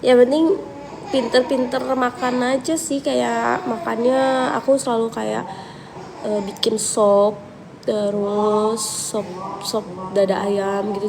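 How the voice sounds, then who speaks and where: Young girl w a cold, gravelly voice, chill livestream chat, noisy audio. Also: a little high, unhurried. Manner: chatty, relaxed, thinks aloud with fillers. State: has a cold, coughing. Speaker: livestream host answering viewers. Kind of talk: casual live chat reading viewer questions.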